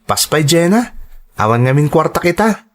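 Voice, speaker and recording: A man plays a dutiful young male student in an audio drama. His voice sits fairly high for a man, and he speaks with earnest respect, like a son who puts his family first. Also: sing-song, normal speed. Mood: shy.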